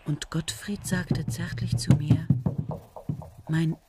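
An actress playing a tired, self-mocking woman scientist in a radio play, talking quietly and often near a whisper. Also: deep voice, fairly even intonation, takes it slowly. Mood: flat narration. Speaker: lead character and narrator. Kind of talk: 1970s German radio play acting. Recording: old radio play with loud hiss.

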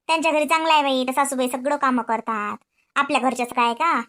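A woman plays a whiny young daughter-in-law pleading with the listener, in a very high and bright voice. She complains at length about doing all the housework. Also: steady tone, quick pace. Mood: evasive.

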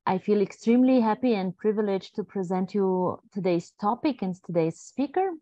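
A woman's voice: medium pitch, expressive, moderate pace, dark tone, no echo. A woman facilitating a webinar, giving a formal welcome and introduction in a welcoming way with frequent fillers. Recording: dry lo-fi video call audio.

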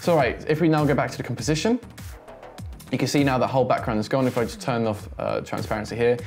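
A man in his twenties giving a casual, chatty software tutorial, thinking aloud as he demonstrates. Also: medium pitch, quick pace, soft background music. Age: 20s. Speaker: host, tutorial presenter.

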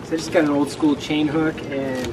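A man's voice: on the high side, flat delivery, a little fast. A man in his thirties hosting a sailing vlog, chatting and joking on camera while standing on a dock. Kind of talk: casual on-camera explaining. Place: outdoor marina dock beside boats. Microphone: camera mic from a few steps away. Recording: loud outdoor wind and harbor noise.

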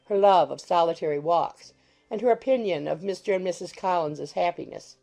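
A woman's voice: fairly low pitch, vocal fry, mellow. A woman narrating a classic novel as a scripted audiobook, in a literary reading style. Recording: music behind the voice.

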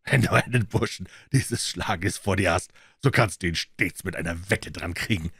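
Guy reading as calm detective man, lowish voice, polite, knowing, measured audiobook delivery, dry sound. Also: breathy edge, no room sound, exaggerated voice. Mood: confident. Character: calm, courteous, self-assured male detective.

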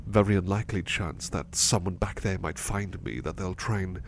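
A man playing a gentle, anxious, sleepy butler in a soft-spoken ASMR roleplay, hesitant and apologetic as he stammers. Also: sits low, moderate pace, gravelly. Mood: uneasy, reluctant. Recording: faint background noise.

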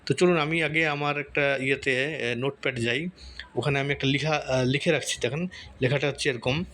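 A man's voice: medium pitch, fairly even intonation, fairly quick, pushed and bright. A man hosting an informal step-by-step tutorial, explaining things in a repetitive way with frequent tag words. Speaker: tutorial host. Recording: clearly audible background noise.

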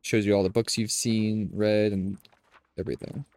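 A man's voice: fairly low pitch, normal speed. A young man with a nasal voice, casually commenting on his gameplay to viewers through a headset. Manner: relaxed, talks to viewers as "boys". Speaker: gaming streamer. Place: home room, indoors.

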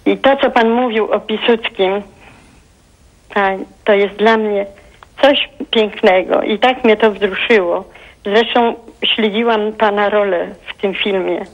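A woman in her eighties from Poland calls in to a TV talk show, speaking in a heartfelt, halting way and often searching for words. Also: mid-range voice, fairly even intonation. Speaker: caller. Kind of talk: phone-in call to a TV talk show. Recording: dull phone line.

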